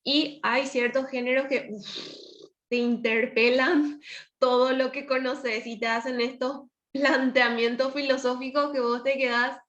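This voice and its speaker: Echoey, high-voiced Paraguayan woman. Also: steady tone, a little slow, fairly bright tone, highs cut off. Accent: Paraguayan Spanish. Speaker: panelist. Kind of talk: casual panel discussion.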